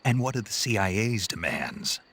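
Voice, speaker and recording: An actor playing a gruff, stoic American special forces soldier in a video game, with terse, skeptical, questioning delivery and a rasping voice. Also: male voice, fairly low pitch, big pitch swings, moderate pace, fairly bright tone, full character voice. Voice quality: very husky, gravelly rasp. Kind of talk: dramatic video game voice acting.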